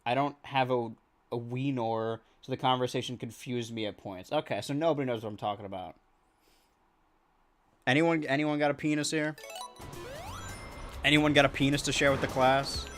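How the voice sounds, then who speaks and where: A young American man with a monotone voice, chatting loosely while streaming a video game, rambling with many restarts. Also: mid-range voice, normal speed, pauses often, soft background music. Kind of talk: casual gameplay chat.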